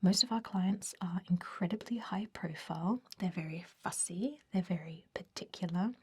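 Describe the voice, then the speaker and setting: A woman's voice: fairly low pitch, moderate pace, dark tone, breathy edge. A woman playing a centuries-old, fussy vampire recruiter in an ASMR roleplay, whispering with a hesitant, politely dismissive manner. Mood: discouraging, matter-of-fact.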